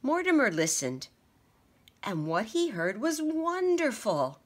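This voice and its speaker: Slow, expressive woman reading a picture book. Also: fairly high pitch. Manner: expressive, gentle storytelling. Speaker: teacher reading aloud. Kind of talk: children's picture book read-aloud.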